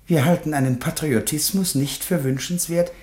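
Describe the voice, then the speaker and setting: An actor playing an unflappable, pompous imperial valet in a satirical radio play, formal and officious. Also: male voice, a little high, moderate pace, a little mellow, lightly acted. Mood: haughty, unimpressed.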